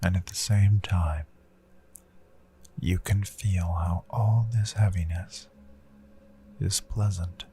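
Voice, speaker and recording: A man voicing a gentle, reassuring hypnotist in a hushed, breathy guided meditation that often slips toward a whisper, with long deliberate pauses. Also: deep voice, steady tone, a little hiss or hum. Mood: hushed, intimate. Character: gentle male hypnotist Count, calm and reassuring. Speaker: hypnotist, audio host.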